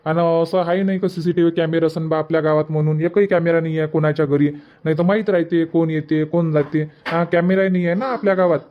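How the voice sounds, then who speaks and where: A very high-voiced young village man from a cartoon, acted by a man, on a dull recording. He is worried and emphatic, full of questions. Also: fairly even intonation, fast, close to an everyday voice. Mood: fretful. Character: worried young village son, fretting over costs. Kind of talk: Marathi cartoon comedy dubbing.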